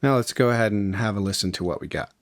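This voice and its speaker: A man narrating a casual, step-by-step music software tutorial in an informal, explanatory way. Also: fairly low pitch, animated, fast talker, noisy background. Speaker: music production tutorial host.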